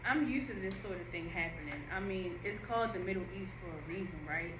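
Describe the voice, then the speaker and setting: A woman playing a brash, chatty young cafe worker in an audio play read-through, animated, slangy and bustling. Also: on the low side, brisk, natural voice. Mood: brash, rambling. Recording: echoey room with background noise.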